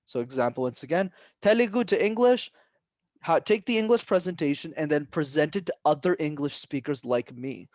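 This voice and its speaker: A young man as a podcast guest, giving energetic and emphatic advice and often tagging his points with "right?". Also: fairly high pitch, brisk, no echo. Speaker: guest, public speaking coach. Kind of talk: casual podcast interview.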